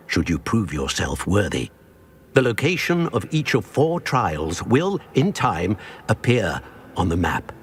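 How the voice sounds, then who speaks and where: An actor playing a grave old wizard professor in a video game, speaking in a formal, measured, solemn way. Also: male voice, lowish voice, a little hiss or hum. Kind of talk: cinematic video game acting.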